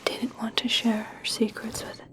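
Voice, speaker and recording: Woman as a slow-whispering gentle elf princess. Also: fairly high pitch, level tone, close to an everyday voice. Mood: gently curious. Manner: soft, slow, soothing whisper. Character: gentle, caring, curious young elf princess. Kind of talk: whispered ASMR personal attention roleplay.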